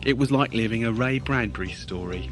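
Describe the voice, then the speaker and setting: A man's voice: medium pitch, fairly quick, over music. A man reading listeners' letters aloud in a scripted style.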